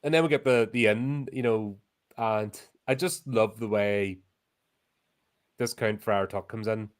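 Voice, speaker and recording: A man's voice: fairly low pitch, fairly even intonation, measured pace. A man, co-hosting a podcast, with wry, jokey banter in casual film chat. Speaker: podcast co-host.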